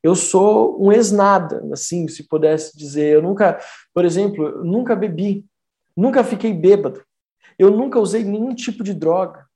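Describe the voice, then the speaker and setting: A Brazilian pastor talks through a sermon in an earnest, conversational way, often pausing on fillers. His voice sits a little high and sounds warm and mellow. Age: 30s. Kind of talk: online testimony sermon.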